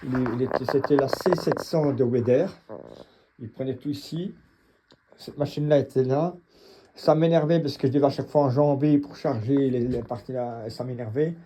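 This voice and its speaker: A middle-aged man from the Mons region with a Belgian French accent and a husky voice, giving a casual unscripted tour in a halting, blunt, colloquial way. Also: mid-range voice, dark tone, slight background noise. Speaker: home gym owner and vlogger.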